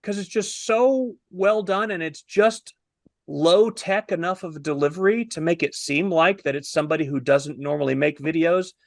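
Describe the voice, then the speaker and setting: A man's voice: fairly high pitch, normal speed, gravelly, dry recording, studio quality. A man in his fifties casually discussing a film with admiring enthusiasm, as a review panelist on a video call.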